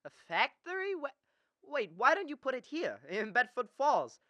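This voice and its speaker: A man's voice: extremely high pitch, big pitch swings, moderate pace, bright, forceful tone, dry recording, dull audio, full character voice. A teenage student actor playing a stubborn, restless young small-town man in a radio drama, stammering and emphatic. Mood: hesitant, curious.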